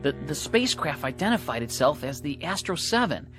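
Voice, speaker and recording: An actor playing a puzzled, dutiful junior military aide in a radio drama, reporting in a deferential manner. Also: male voice, a little high, big pitch swings, fairly quick, fairly bright tone. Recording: music bed behind the voice.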